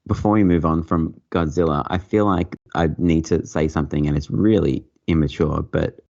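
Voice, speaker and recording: A man guesting on a podcast who rambles and makes crude jokes, often restarting his sentences. His voice is very low and gravelly. The recording sounds somewhat dull. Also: fairly even intonation, moderate pace, darkish tone. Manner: rambling, crude joking, self-repeating restarts. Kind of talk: casual podcast chat.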